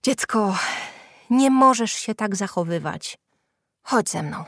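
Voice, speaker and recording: A woman's voice: mid-range voice, animated, slow pace, a bit bright, dry recording, studio quality. A woman voicing a stern, exasperated female schoolteacher in a storybook, firm and commanding.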